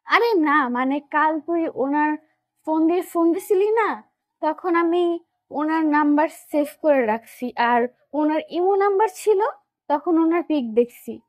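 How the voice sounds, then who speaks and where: A woman plays a soft-spoken young student, the placating friend in an audio love story. Her voice is high and quiet, with a dry close sound. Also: unhurried, no room sound.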